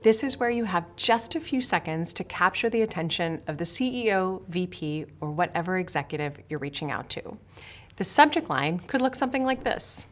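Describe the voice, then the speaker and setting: A woman from Switzerland, a sales trainer, giving a scripted lesson straight to the viewer in a direct, emphatic way. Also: lowish voice. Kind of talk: scripted instructional talk to camera.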